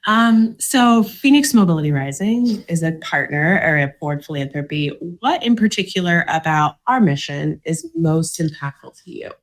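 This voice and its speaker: A woman's voice: deep voice, lively intonation, normal speed, few pauses. A woman hosting a podcast interview, chatty, asking long rambling questions. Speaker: podcast host.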